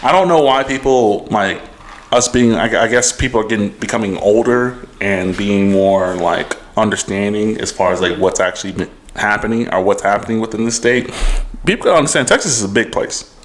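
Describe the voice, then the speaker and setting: A man's voice: fairly low pitch, fairly quick, slight background noise. Laid-back man thinking aloud. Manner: relaxed, hedging, thinking aloud. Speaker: podcast co-host. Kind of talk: casual podcast chat.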